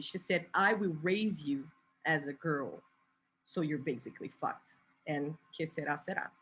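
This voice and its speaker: A young woman tells a story slowly and poetically, weighing each phrase. Her voice sits a little low. A faint hiss runs under her video call audio. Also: fairly bright tone, low-quality recording. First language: Portuguese. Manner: slow, deliberate, poetic delivery. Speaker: activist and artist, presenter. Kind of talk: spoken-word storytelling in an online talk.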